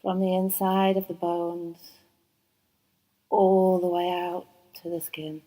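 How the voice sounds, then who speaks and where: A woman teaching yoga nidra, guiding a relaxation meditation in a soft, soothing voice, slow and unhurried with long pauses. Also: on the low side, flat delivery, low-quality recording. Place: yoga training course.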